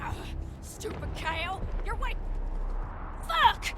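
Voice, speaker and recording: A woman's voice: very high voice, very animated, drawn-out words, heavy background noise, heavily acted. An actress playing a vicious, glory-hungry female raider who snarls threats at a hostage, in a video game. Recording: game music behind.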